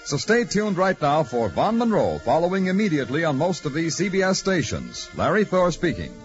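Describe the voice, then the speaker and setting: A man works as a network radio announcer in 1940s style. His slightly high voice swings with animated intonation. The broadcast is dull, with faint music underneath. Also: a little fast. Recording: muffled vintage radio, music behind.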